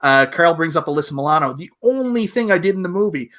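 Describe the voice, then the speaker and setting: A man's voice: on the high side, lively intonation, quick pace, a bit bright. A man chatting casually on an online panel, giving blunt, emphatic opinions. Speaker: panelist on an online video game show.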